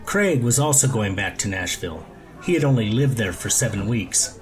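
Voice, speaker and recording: A man's voice: lowish voice, animated, moderate pace, soft background music. A man narrating a scripted story as an audiobook reader.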